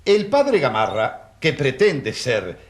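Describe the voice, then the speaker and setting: An actor playing an indignant, self-assured prosecuting lawyer in a radio courtroom drama, declamatory and formal. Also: male voice, mid-range voice, huge pitch swings, slow pace. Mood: formal, accusing. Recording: roomy echo.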